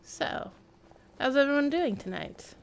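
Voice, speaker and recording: A woman's voice: sits high, expressive, unhurried, creaky. A woman chatting casually and thinking aloud as she livestreams a video game. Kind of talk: casual game stream commentary.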